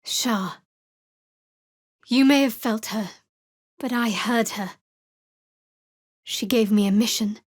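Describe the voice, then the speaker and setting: A woman playing a guarded, sardonic young cleric of a dark goddess in a fantasy video game, speaking in a dry, measured, wry way. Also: fairly high pitch, dry recording.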